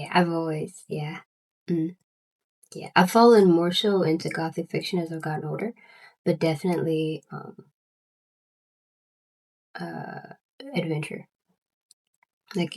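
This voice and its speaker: A young woman with a Southern US accent and a stutter, chatting casually in a book vlog with halting restarts and many fillers. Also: sits low, darkish tone, rough recording. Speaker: booktuber.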